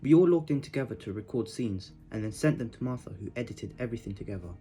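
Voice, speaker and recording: A man's voice: medium pitch, animated, quick pace, mellow, soft background music. A young man narrating a scripted piece as a member of a youth theatre company. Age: young adult. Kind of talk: scripted narration to promote a project.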